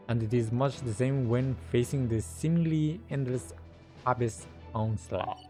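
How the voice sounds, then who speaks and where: Casting: male game streamer, medium-pitched, informal commentary and text reading over soft game music. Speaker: gaming content creator.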